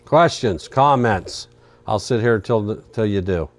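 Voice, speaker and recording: A man's voice: fairly low pitch, expressive, a little slow. A man hosting a live trading stream, chatting casually and answering viewer questions while he reads charts, his voice getting worn out. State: losing his voice. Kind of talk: casual live market commentary.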